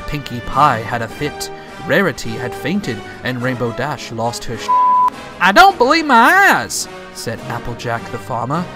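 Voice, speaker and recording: A man narrating a rhyming story in a dramatic, theatrical storybook style. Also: medium pitch.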